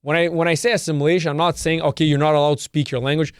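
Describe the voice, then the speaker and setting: A man's voice: on the high side, level tone, very fast, smooth, no room sound, studio quality. Emphatic, swearing man. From Canada. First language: Serbian. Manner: emphatic, repetitive, swears freely. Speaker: podcast host. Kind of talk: solo opinion monologue.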